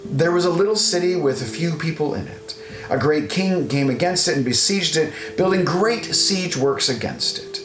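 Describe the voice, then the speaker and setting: A man narrating an audio Bible, reading scripted scripture in a measured, solemn recitation. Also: on the high side, lively intonation. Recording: roomy echo, guitar music underneath.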